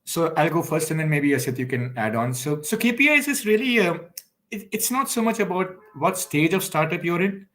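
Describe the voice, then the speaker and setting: A man from India speaking as a guest on an online panel, giving advice in an emphatic, animated way. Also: fairly high pitch, fairly quick. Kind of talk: panel discussion.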